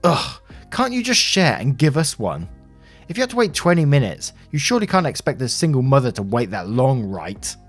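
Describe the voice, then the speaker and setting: A man's voice: medium pitch, huge pitch swings, normal speed, breathy edge, soft background music, exaggerated voice. A man voicing a belligerent, entitled mother in a story, haughty and scolding. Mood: entitled, whining. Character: belligerent, entitled, xenophobic mother, shrill and demanding.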